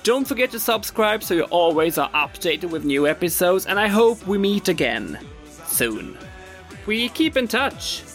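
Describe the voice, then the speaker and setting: A man's voice: high voice, big pitch swings, a little slow, fairly bright tone, faint music behind. A man hosting a podcast interview, friendly, with many short affirmations. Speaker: podcast host. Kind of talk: casual interview.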